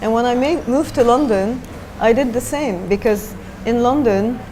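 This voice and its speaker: A woman's voice: fairly high pitch, moderate pace, dark tone, a little hiss or hum. A middle-aged woman from Japan, a designer talking as a guest in an onstage interview, thoughtful and hesitant with many fillers.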